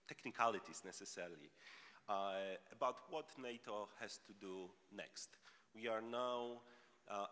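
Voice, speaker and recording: A man moderating a panel, speaking in a halting, wordy way with repeated words. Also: fairly low pitch, fairly bright tone. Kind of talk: panel moderation before an audience. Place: large conference hall. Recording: echoey hall with long reverb.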